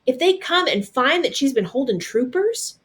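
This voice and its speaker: A man's voice: very high-pitched, sing-song, normal speed, fairly bright tone, voice pushed up, heavily acted. A woman reading a storybook as a kind, determined young soldier boy who speaks in a folksy rural dialect. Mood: earnest, determined. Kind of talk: storybook read-aloud character.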